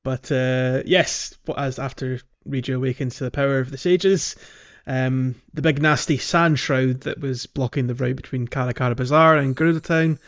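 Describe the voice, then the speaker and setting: A man's voice: mid-range voice, steady tone, normal speed, few pauses. A man co-hosting a podcast in casual, informal chat with some jokey banter. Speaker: podcast co-host. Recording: slightly dull audio over music. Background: music.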